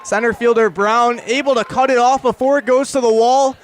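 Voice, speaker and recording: A young man doing live radio baseball play-by-play in clipped phrases that build to excited calls. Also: very high voice, a little fast, slight background noise. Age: young adult. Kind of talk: live radio sports commentary.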